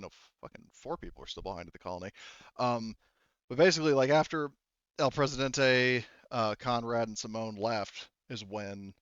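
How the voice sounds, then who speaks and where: A man speaking casually as a game master, narrating in a halting, hedging way with the odd swear word, on slightly lo-fi audio. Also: medium pitch, fairly bright tone, dry recording.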